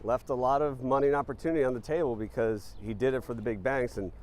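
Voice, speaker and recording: A startup founder answering interview questions in a relaxed, thoughtful way, with many ums and uhs. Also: male voice, medium pitch, fast talker. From born in Panama, raised in New Jersey.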